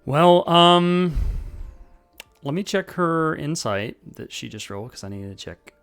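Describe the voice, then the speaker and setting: A man's voice: a little high, expressive, measured pace, a bit bright, faint music behind. A man acting as game master for a streamed tabletop game, easygoing and chatty, narrating with fillers.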